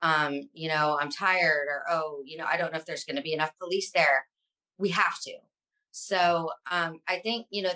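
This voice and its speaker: A woman's voice: deep voice, sharp tone, poor audio. A woman speaking as an interview guest, answering earnestly in a conversational way. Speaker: interview guest, online advocate and influencer.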